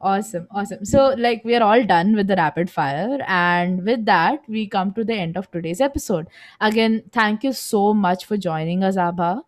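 A woman's voice: mid-range voice, normal speed. A young woman around twenty hosts a casual podcast interview, posing questions and readily voicing agreement with her guest. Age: young adult, around twenty. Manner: affirming, often echoes agreement. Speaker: podcast host.

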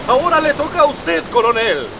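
A man's voice: very high-pitched, very animated, brisk, creaky, recorded from a distance, noisy background, heavily acted. A man playing an idealistic, exasperated rebel prince in a radio drama, speaking earnestly and emphatically. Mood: commanding.

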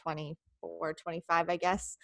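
A woman's voice: on the low side, unhurried, vocal fry. A woman in her late twenties from Canada, chatting casually as a podcast guest with lots of "like". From Ottawa, Canada. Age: 20s. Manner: relaxed, laughing, lots of "like". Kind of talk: casual interview chat.

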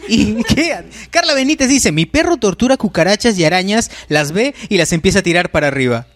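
A man's voice: sits high, very animated, a little fast. A man with a Peruvian accent hosting a casual podcast, joking and reading viewer comments aloud. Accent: Peruvian Spanish. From Peru. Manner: jokey, laughs often, reads viewer comments aloud. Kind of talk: casual chat.

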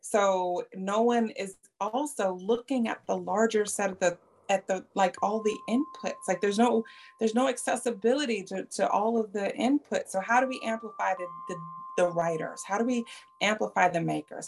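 Gravelly-voiced woman podcast host. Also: mid-range voice, moderate pace, a little hiss or hum, low-quality recording. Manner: thoughtful, searches for words, speeds through lists. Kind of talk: reflective interview chat.

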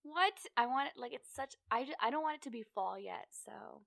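A woman's voice: fairly high pitch, animated, normal speed, gravelly, fairly bright tone, no room sound, studio quality. A young woman co-hosting a casual chat, talking dramatically with lots of "like". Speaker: podcast co-host.

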